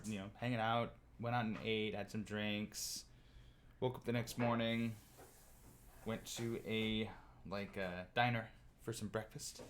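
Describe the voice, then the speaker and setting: A man chatting on a livestream, loud-voiced and animated, reacting to chat and rambling through opinionated stories. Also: on the low side, slight background noise. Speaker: streamer. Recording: music playing clearly behind voice. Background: music.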